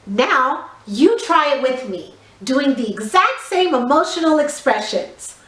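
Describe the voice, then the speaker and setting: A woman teaching a theatre lesson to young children, giving instructions in an expressive, clearly enunciated way. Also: high voice. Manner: slow, clearly enunciated, exaggeratedly expressive delivery for small children. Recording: echoey room.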